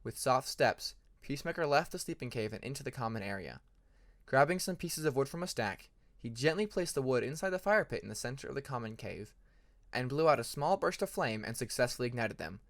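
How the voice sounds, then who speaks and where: A man's voice: medium pitch, a bit bright, no echo, studio quality. A man reading scripted fantasy fiction as an audiobook narrator, in an earnest, dramatic storytelling manner.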